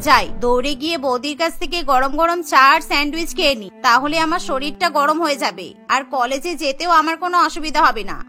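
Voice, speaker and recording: Woman as a very high-pitched whiny college girl. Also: fast talker, hardly any pauses, bright, forceful tone. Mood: eager, shivering. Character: young college girl, whiny complaining sister-in-law. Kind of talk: Bengali cartoon voice acting. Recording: music behind the voice.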